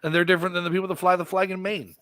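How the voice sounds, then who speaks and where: Blunt man with a bright, high-ish voice. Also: level tone, very fast, no echo. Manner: blunt, profane opinions. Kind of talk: casual group chat.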